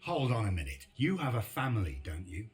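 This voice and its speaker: A weary, reluctant war veteran in a game drama. He speaks in a low, grave voice with a measured, guarded delivery. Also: big pitch swings. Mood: tense. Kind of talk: dramatic game dialogue and reflective narration.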